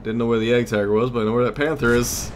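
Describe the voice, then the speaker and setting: A man's voice: medium pitch, fairly even intonation, racing, a little hiss or hum. A man giving casual gameplay commentary as a let's play host, thinking aloud in a conversational way.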